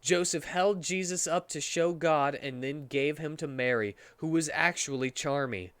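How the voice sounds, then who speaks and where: A man's voice: on the high side, moderate pace, a bit bright, clear, resonant tone, no room sound. A man reading a story aloud as a comedic dramatic performance, sometimes stumbling over the words.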